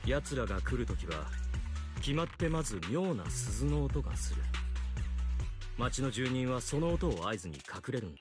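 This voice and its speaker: A conciliatory townsman from a video game, acted by a man, speaking quickly with lively intonation over music. He is affable and explains things courteously. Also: mid-range voice, natural voice. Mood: earnest, conciliatory. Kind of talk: Japanese video game voice acting.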